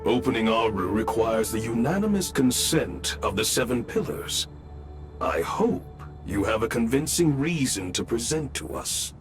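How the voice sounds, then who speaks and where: An ancient mechanical saint for a video game. He is ceremonious and courteous, taking his time yet moving freely in pitch. Soft music plays behind him. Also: sing-song, unhurried. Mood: stern, formal. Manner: stately, formal. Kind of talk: scripted video game cutscene acting.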